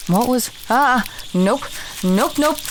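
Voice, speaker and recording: A woman's voice: on the high side, animated, takes it slowly, noisy background. A woman playing a chatty, drily humorous alchemist and herb gatherer in a fantasy audio roleplay, talking straight to the listener. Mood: panicked. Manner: conversational, instructive, drily humorous.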